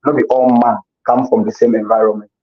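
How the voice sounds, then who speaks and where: A man talks earnestly as an interview guest, often circling back over his own words while he thinks. His voice is husky and sits at a middle pitch. The recording sounds dull and lo-fi. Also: flat delivery, quick pace. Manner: earnest, repeats himself while searching for words. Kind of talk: casual conversational interview.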